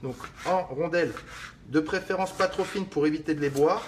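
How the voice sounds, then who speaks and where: Man talking to viewers, slightly high voice, joking and rambling, faint clicks behind. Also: normal speed, background noise. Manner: joking, rambling, swears casually, talks to viewers. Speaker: fitness livestream host. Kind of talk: casual live cooking demo.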